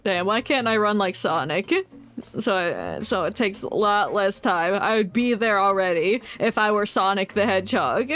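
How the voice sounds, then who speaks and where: A woman's voice: mid-range voice, lively intonation, normal speed, a bit bright. A woman streamer giving casual live commentary on a game, joking and heckling sarcastically. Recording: game music behind the voice.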